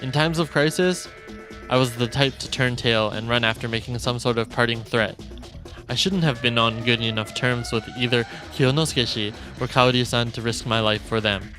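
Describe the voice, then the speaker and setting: A man's voice: mid-range voice, fairly even intonation, normal speed, sharp tone. A man streaming a game, expressively reading visual novel narration aloud. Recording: dry close mic, faint game music.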